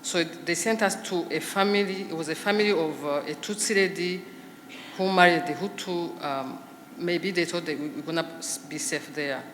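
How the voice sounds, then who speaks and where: Woman giving halting testimony in an echoey hall. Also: on the low side, fairly bright tone. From Rwanda. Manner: halting, measured, with frequent pauses and fillers. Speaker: genocide survivor giving testimony. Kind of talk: personal testimony speech. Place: large commemoration hall. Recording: echoey hall with faint background noise.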